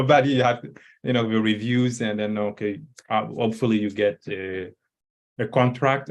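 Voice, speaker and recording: A middle-aged man, not a native English speaker, talking on a video call through a headset, hesitant with many fillers and restarts. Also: fairly low pitch. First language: not English, not French. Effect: video call audio. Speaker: author and scholar. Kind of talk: casual panel talk. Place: indoor room.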